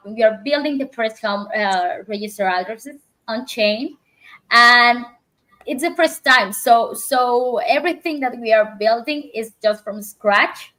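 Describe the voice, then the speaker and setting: Host, female, fairly high voice, casual chat with restarts. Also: fairly bright tone, poor audio. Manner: halting, many restarts and fillers, laughs often. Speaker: livestream host.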